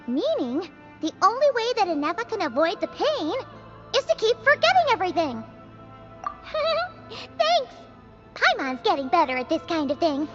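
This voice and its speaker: An actress voicing a tiny, chirpy, childlike fairy companion in an anime-style video game, eager and exclamatory with a squeaky tone. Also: female voice, big pitch swings, moderate pace, dull audio, music in the background. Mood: chirpy, explaining. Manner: refers to herself by name, exclamatory.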